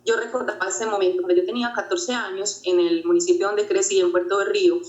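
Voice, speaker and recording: A woman's voice: mid-range voice, fairly even intonation, fast talker, a little mellow. Earnest woman in a roomy space. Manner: earnest, reflective, repeats phrases. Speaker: motivational speaker. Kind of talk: personal motivational talk. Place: home during quarantine. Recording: roomy, dull lo-fi sound.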